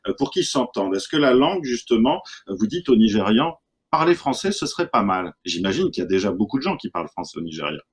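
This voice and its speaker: A man moderating a conference panel, asking long halting questions full of fillers. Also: on the low side, big pitch swings, husky.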